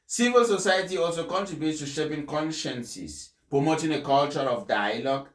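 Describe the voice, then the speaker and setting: A man reads a formal speech aloud in a solemn oratorical way, pausing emphatically between short phrases. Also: mid-range voice, fairly bright tone. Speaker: reader of an English translation of a papal speech. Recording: echoey room.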